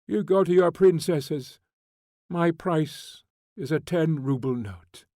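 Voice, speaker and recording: A man's voice: very animated, takes it slowly, darkish tone, no echo, studio quality. A male reader voicing a bitter young woman convict who is drunk, furious and mocking. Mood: scornful. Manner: scornful, mocking, shrill.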